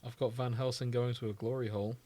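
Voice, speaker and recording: A man's voice: medium pitch, level tone, fast talker, dark tone, no echo. A man co-hosting a podcast in casual comedic chat, full of opinionated, mock-indignant banter. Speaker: podcast co-host.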